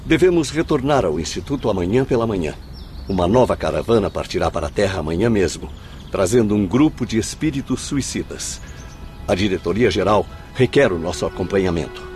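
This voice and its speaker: A man's voice: lowish voice, lively intonation, brisk, darkish tone, soft background music, close to an everyday voice. A Brazilian man acting in a radio drama as an earnest young spirit messenger with a formal manner. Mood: dutiful.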